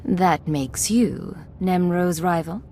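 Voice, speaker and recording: A woman's voice: lowish voice, slow, a little mellow, slight background noise. A woman playing a cool, commanding Imperial secret agent in a video game, controlled and authoritative. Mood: firm.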